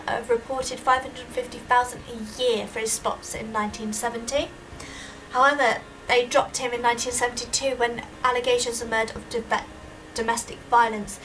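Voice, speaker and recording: High-pitched young woman, distant mic. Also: fairly even intonation, normal speed, a bit bright. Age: young adult. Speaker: university student presenter. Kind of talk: prepared student presentation. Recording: distant microphone with audible background noise.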